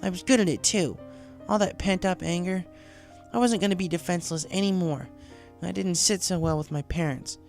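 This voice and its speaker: A man voicing a hurt, defiant young female pegasus pony in a storybook reading, gravelly and bitterly confiding. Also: high-pitched, a little fast, faint music behind, voice pushed up. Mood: bitter, wounded, then wounded. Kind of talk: acted storybook dialogue.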